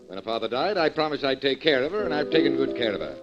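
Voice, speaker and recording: Radio drama role: actor plays middle-aged man, calm, evasive, drily dismissive, mid pitch. Piano underneath, muffled vintage recording. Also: close to an everyday voice. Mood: unruffled, dismissive. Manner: measured, dry, dismissive. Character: unruffled, evasive middle-aged father of a grown daughter. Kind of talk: old-time radio drama acting. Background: piano music.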